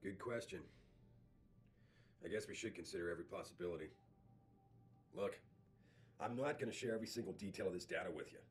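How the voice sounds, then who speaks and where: Smooth, commanding corporate boss. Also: male voice, low voice, animated, fairly quick, long pauses, slight background noise. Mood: firm, guarded. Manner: persuasive, authoritative. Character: male company CEO, smooth, evasive, commanding boss. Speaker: company CEO. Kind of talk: video game voice acting.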